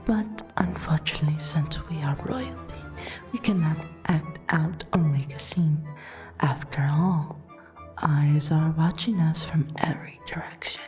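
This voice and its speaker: A woman acts out a flirtatious vampire royal in an ASMR roleplay. She is courtly and teasing, drawing out her breathy words in a low, mellow voice. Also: unhurried, soft background music. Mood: sultry, taunting.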